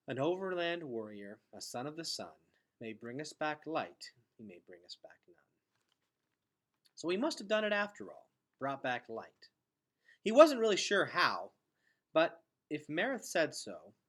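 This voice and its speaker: A man reading a children's novel aloud in an expressive storytelling style. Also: fairly high pitch. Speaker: read-aloud reader.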